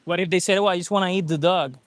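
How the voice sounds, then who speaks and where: A man's voice: high-pitched, steady tone, rapid-fire, dry recording. A man interviewing strangers outdoors at a beach with a handheld mic, pressing them with persistent ethical questions. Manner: persistent, probing, argumentative. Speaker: vegan activist interviewer. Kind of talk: street debate questioning.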